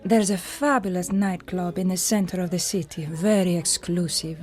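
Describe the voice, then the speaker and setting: A woman's voice: lowish voice, normal speed, a little mellow, soft background music, natural voice. An actress playing a playful, flirtatious foreign society lady in a radio drama, with a coquettish manner.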